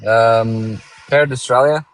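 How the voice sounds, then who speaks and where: Halting man in his thirties, caller. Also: mid-range voice, steady tone, no echo, rough recording. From Afghanistan. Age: 30s. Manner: hesitant, halting with many fillers. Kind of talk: casual live-stream call.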